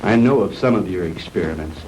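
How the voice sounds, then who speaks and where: An actor playing a blunt, defiant captive hero in a 1960s B-movie, accusing a villain. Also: male voice, low-pitched, expressive, a little fast, darkish tone, poor audio. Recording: hissy old 1960s film soundtrack.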